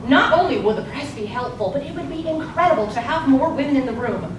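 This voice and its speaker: A woman's voice: animated, quick pace. A woman playing a poised, encouraging suffragist politician in a live stage play, recorded in an echoey room. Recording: echoey noisy hall, distant mic, low rumble.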